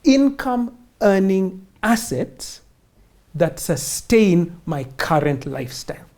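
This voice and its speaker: A woman's voice: medium pitch, big pitch swings, slow, a little mellow. A woman explains things in an interview as a finance instructor, emphatic and teacherly, often posing questions and answering them. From Kenya or wider Africa.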